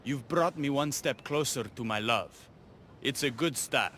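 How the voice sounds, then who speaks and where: A man playing a smooth-talking, lovestruck rebel with a dramatic, deliberate manner in a video game cutscene. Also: mid-range voice, a bit bright, slight background noise, lightly acted. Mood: lovestruck, charming.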